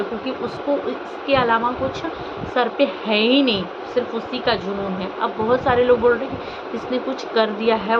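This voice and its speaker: A woman from India vlogging informal, opinionated commentary to viewers in an emotive way, with rhetorical questions and repetition. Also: medium pitch. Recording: dull lo-fi audio, loud vehicle noise.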